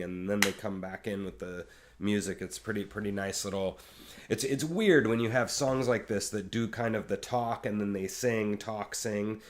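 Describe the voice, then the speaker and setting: A man with a fairly low voice talks casually about music, rambling and circling back with plenty of "uh" and "um." He sounds like a friendly reaction-video host thinking aloud. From Kansas City area. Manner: rambling, many fillers and restarts.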